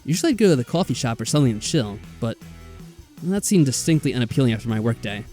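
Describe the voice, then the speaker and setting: A man doing casual let's play commentary, reading game text aloud with animated, mildly sarcastic reactions and some swearing. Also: mid-range voice, quick pace. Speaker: let's player. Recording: music behind the voice.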